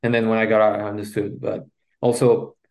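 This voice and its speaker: A man from Belgium whose first language is French, speaking English with a Belgian French accent, recounting his past as a podcast guest in a halting way with frequent restarts. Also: fairly low pitch, flat delivery, darkish tone. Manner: halting, with many restarts and fillers. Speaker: guest, relationship coach. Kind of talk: personal story in a podcast interview.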